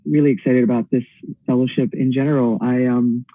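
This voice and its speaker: A man's voice: mid-range voice, steady tone, brisk, dry recording. Give me an upbeat, eager man from Hawaii, a performer dialing in remotely, speaking with many fillers over a tinny, phone-like line with a dry sound. His introduction should sound enthusiastic. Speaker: poet and performer. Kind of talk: panel self-introduction.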